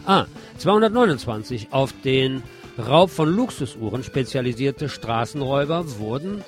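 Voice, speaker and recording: Male radio news host, mid-pitched, scripted delivery with sardonic informal asides, guitar music underneath. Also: animated, moderate pace. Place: radio programme.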